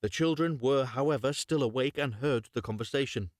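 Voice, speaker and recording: A man narrating a storybook live and unrehearsed, in a measured storytelling manner with occasional stumbles. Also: medium pitch, no echo, clean studio sound. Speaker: storyteller, voice-over reader.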